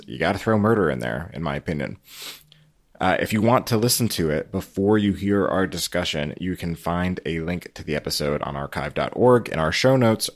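A man hosting a podcast, moving between scripted introduction and conversational talk. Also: low-pitched. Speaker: podcast host.

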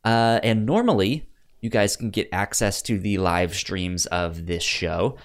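A man's voice: on the low side, unhurried. A man co-hosting a podcast in casual, informal, conversational chat. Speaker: podcast co-host.

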